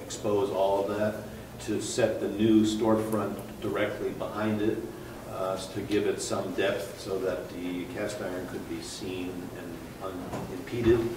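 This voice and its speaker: A man, an architect, presenting his project at a public hearing, halting with many fillers and restarts, recorded from afar in a large conference room. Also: low-pitched, level tone, recorded from a distance. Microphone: far room mic. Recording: echoey room from afar, background noise.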